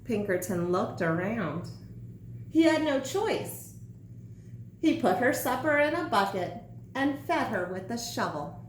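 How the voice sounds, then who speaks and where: A woman reading a children's story aloud in an expressive, deliberate way. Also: fairly high pitch. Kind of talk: scripted children's story narration. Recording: echoey room, faint background noise.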